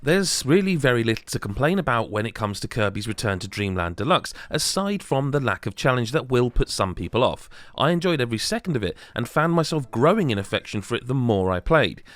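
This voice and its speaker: A man's voice: mid-range voice, big pitch swings, a little fast, hardly any pauses, a bit bright, no room sound. A man reading a scripted video game review as a voice-over. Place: close, dry voice-over recording.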